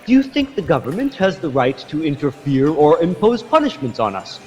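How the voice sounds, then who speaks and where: Man acting a blustering friar, wildly animated. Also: a little high, a little fast, muffled recording. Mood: indignant. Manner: bombastic, scornful. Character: blustering, indignant Spanish friar, defiant and haughty. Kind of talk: radio drama acting. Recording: long hall reverb, music underneath.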